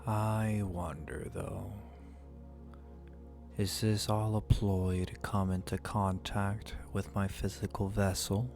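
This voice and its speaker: A man playing a proud ancient dragon in human form for an ASMR roleplay, with a breathy, half-whispered voice and a haughty, teasing, seductive drawl. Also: sits low, steady tone, takes it slowly, heavily acted. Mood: teasing, softened. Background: music.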